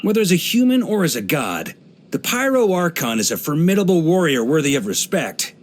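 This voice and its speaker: An actor playing a hearty middle-aged knight grandmaster in a video game, an easygoing adventurer who talks in a wry, conversational way. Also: male voice, a little high. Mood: admiring, easygoing. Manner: relaxed, wry, conversational, with chuckles and musing pauses. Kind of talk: video game character voice lines.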